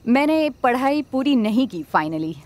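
A blunt, bossy young woman; lively pitch, slightly high. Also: a little slow. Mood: matter-of-fact. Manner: taunting, blunt, mixes English phrases. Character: brash bossy young woman, mocking friend. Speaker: brash friend who goads and orders people around. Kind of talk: web series comedy acting.

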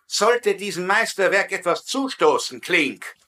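Pompous, impatient sitcom general: high, bright voice, curt commands, open threats. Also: male voice, very animated, unhurried, exaggerated voice. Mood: stern, threatening. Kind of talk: dubbed military sitcom acting.

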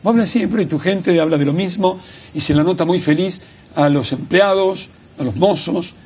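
A man's voice: high-pitched, moderate pace, a little mellow, breathy edge. An older man with a Buenos Aires accent hosting a television studio interview, speaking emphatically and repeating words for effect. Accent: Buenos Aires Spanish. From Argentina. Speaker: television talk show host.

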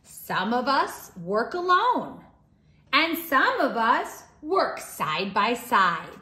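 High-pitched, animated woman reading to children. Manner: bright, deliberate, expressive delivery for young children. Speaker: children's teacher and read-aloud host. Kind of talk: children's storybook read-aloud. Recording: roomy, echoing indoor sound.

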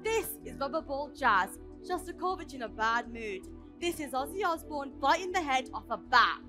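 A woman's voice: high-pitched, animated, normal speed, over music. A woman playing a proud, fierce female squash champion from Pakistan in a dramatic, poetic stage monologue. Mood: intense, poetic. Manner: declamatory, dramatic.

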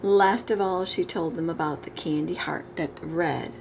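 A middle-aged woman reading a children's picture book aloud with expressive, emphasized storybook delivery. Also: sits low, unhurried. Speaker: storytime reader. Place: living room, indoors. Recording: clear background room noise.

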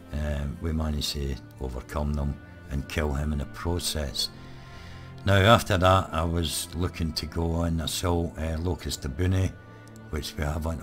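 Hoarse deep-voiced gamer. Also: male voice. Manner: relaxed, rambling, many ums. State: hoarse, croaky. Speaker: gaming channel host. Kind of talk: live let's play commentary.

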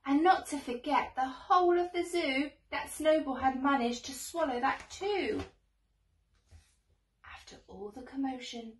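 Young female storyteller, higher voice, expressive rhyming read-aloud, recorded at a distance in a roomy space. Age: young adult. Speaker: teacher reading a children's story. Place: small bedroom, indoors. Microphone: camera a few metres away. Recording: roomy echo, distant microphone.

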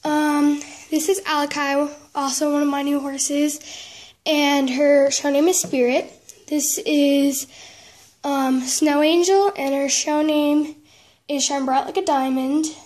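A woman giving a casual show-and-tell narration in a halting way, often trailing off and correcting herself. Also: high voice, flat delivery, darkish tone. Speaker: vlogger giving a tour of a toy horse barn. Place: indoors at home, room with wooden floor. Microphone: handheld camera, speaker behind it.

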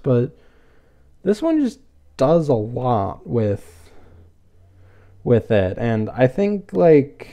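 A man's voice: on the high side, warm tone. A man hosting a podcast, casually reviewing an episode in a rambling, hesitant but enthusiastic way. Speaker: podcast host.

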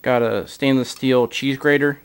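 A man's voice: mid-range voice, fairly even intonation, a little slow, clean tone. A man giving a casual product walkthrough as a gear reviewer, filler-heavy, recorded indoors on a camera mic. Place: indoors, camera over a carpeted floor.

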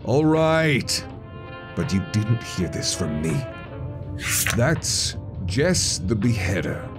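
A man's voice: on the low side, very animated, takes it slowly, over music. A man voicing a prissy, put-upon wizard train steward in a comic dub, formally polite and sarcastic. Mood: indignant, reluctant. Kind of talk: comic dub character acting.

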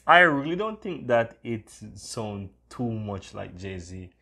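A man's voice: lowish voice, sing-song, slow pace, creaky, sharp tone. A man hosting a casual screen-recorded tutorial, talking in an enthusiastic, repetitive way. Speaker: tutorial host.

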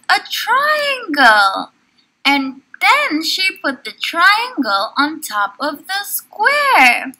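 Very bright, high-voiced woman teacher. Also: rough recording. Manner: encouraging, animated, prompting with questions. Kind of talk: children's online lesson with story read-aloud.

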